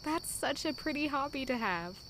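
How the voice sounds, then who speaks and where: An actress playing a kind young maid in an audio drama, talking in an earnest, caring way. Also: female voice, sits high, lively intonation, normal speed, fairly bright tone, a little hiss or hum, rough recording. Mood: gentle. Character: young woman maid, kind and warm-hearted.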